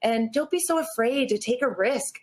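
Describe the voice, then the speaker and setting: A woman's voice: a little high, animated, moderate pace. A Canadian woman speaking as an interview guest, earnest and encouraging in a motivational chat. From born in Newfoundland, grew up in Nova Scotia, Canada. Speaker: interview guest, natural athlete.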